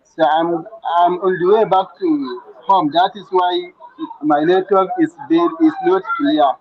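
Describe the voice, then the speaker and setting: A man, a student travelling home, speaking loudly and repeating himself over a poor connection. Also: high voice, fairly even intonation, measured pace, husky, highs cut off. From Kano State, Nigeria.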